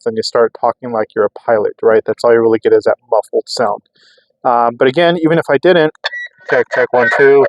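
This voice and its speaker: A man's voice: mid-range voice, a little mellow, no echo, rough recording. An American man talking casually and off the cuff as a vlogger testing gear, rambling and thinking aloud. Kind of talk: casual unscripted vlog narration. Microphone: clip-on microphone.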